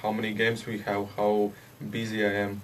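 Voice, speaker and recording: A Latvian man, a hockey player, answering interview questions in English with a Latvian accent, haltingly and with many hesitations. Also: on the low side, monotone. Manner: halting, hesitant, with frequent "uh" and restarts. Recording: distant mic with faint background noise.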